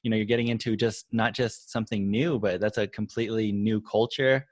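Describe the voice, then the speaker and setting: Host: man, low voice, dry close sound, encouraging, fillers. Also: fairly even intonation, fairly quick, no echo. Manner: encouraging, many "you know" fillers. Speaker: podcast host. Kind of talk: casual interview questions.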